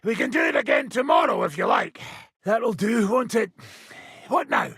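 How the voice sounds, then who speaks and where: Raspy, brash medieval brawler. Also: male voice, sits high, sing-song, moderate pace, a bit bright, no echo, shouting, clean studio sound, full character voice. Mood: boisterous, teasing. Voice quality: raspy, gravelly. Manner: gruff, taunting, boisterous. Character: brash hard-drinking male medieval fighter and combat trainer. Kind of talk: video game voice lines.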